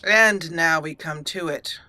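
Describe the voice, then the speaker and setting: A woman hosting a let's play, narrating in a theatrical, deliberate roleplay style with dramatic pauses. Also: sits low, sharp tone, dry recording. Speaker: gaming channel host.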